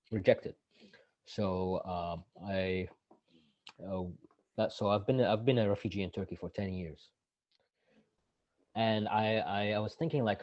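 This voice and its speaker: Guest: man, 30s, low voice, searching for words; lo-fi, dry recording. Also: a little mellow, no room sound. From Iraq. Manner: halting, often restarting mid-sentence. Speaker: guest, activist. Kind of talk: conversational interview answers.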